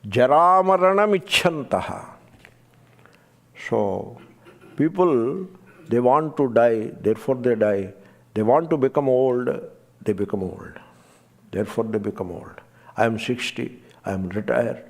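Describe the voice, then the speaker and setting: Male teacher, gravelly, mid-pitched, measured and emphatic, chants verses. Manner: measured, emphatic, drops to quiet asides; chants scripture verses slowly. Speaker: spiritual teacher. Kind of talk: spiritual discourse.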